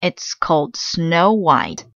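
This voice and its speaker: A woman's voice: lowish voice, huge pitch swings, takes it slowly, fairly bright tone, no echo, lo-fi sound, full character voice. A woman voicing an easily outraged mother witch in a storybook, with a screeching, scolding, dramatic delivery. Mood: grumbling.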